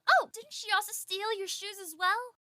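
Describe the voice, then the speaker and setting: A woman's voice: extremely high pitch, moderate pace, sharp tone, dry recording, studio quality. A woman playing a gossipy, outspoken young castle maid in an audio drama.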